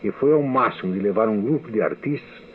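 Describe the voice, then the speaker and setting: An older man reads his memoirs in a measured, recollecting way, his voice very deep. The sound is thin and tinny, like an old archival radio broadcast. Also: breathy edge. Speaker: radio writer giving a testimony. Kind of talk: reading a memoir testimony.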